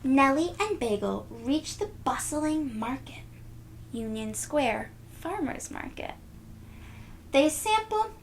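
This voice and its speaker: A woman reading a picture book aloud to young children, expressive and stopping to explain things simply. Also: a little high, slight background noise. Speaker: read-aloud storyteller.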